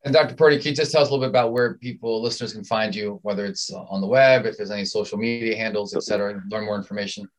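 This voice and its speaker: A man's voice: fairly low pitch, fairly even intonation, very fast, hardly any pauses, low-quality recording. A man from Southern Maryland who co-hosts a medical podcast. He chats casually and often repeats words as he starts sentences. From Southern Maryland, USA. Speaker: podcast co-host, physician. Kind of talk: casual interview chat.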